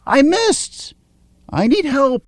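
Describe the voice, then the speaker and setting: A mischievous little ghost girl played by a man, full of playful energy, from a storybook read-aloud. The pitch jumps high and moves around a lot. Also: sing-song, slow pace, dry recording, studio quality, full character voice. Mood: urgent.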